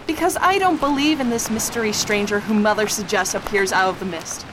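A woman's voice: mid-range voice, a little fast, noisy background, natural voice. A woman playing a worried, insistent young woman in a radio drama, with an urgent, exasperated manner. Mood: worried, sceptical.

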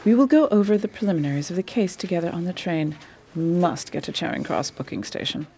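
A commanding, eager lady detective for radio drama. She sounds refined and purposeful in a lowish, dark-toned voice, with faint noise behind. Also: gravelly. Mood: brisk, confiding.

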